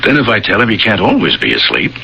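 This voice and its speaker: An actor in a radio drama playing a troubled, guarded man who speaks haltingly and repeats his words. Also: fairly low pitch, wildly swooping pitch, vocal fry, bright, forceful tone, lo-fi sound, exaggerated voice. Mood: resigned. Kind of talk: 1980 radio drama acting. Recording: old radio recording, faint noise.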